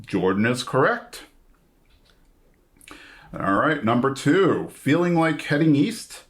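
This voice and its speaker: A man hosting a trivia game, reading questions out in a measured way and confirming answers. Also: medium pitch, sing-song. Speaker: quizmaster.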